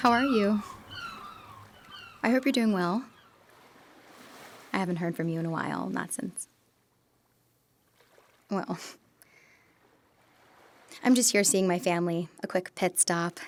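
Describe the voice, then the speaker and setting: A woman's voice: lowish voice, slow pace, pauses often. Wistful young woman, hesitant, half-whispered. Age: young adult. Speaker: monologue performer. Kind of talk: radio drama monologue.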